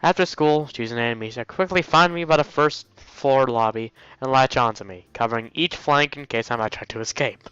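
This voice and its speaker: A man's voice: medium pitch, moderate pace, hardly any pauses, a bit bright, clean tone, dry recording. A young man reading a visual novel aloud as a let's play host, playfully commenting and stumbling over lines. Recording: dull, lo-fi mic with music. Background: game music.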